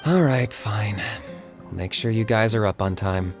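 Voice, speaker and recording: A man playing a calm, sensible and gently supportive young man in a video game, measured and matter-of-fact. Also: lowish voice, over music.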